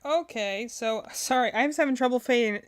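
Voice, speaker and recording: A woman with a slightly high voice talks casually as a streamer reacting to game videos. Her commentary is unscripted and rambling, as she thinks out loud through each opinion. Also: a little fast, clear, resonant tone.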